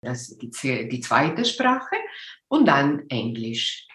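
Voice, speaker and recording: An older woman from Ticino talks in German with a clear Italian-Swiss accent, often pausing to search for words. Her voice sits low and she speaks slowly. The recording has a roomy echo. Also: sing-song, fairly bright tone. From Ticino, Switzerland. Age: 60s. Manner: hesitant, searching for words, frequent ums. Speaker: guest. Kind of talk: casual interview chat.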